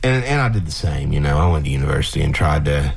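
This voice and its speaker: Southern male guest, very low and husky, halting radio interview talk. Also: lively intonation. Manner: halting, repeats words while thinking. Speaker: guest musician. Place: radio station studio.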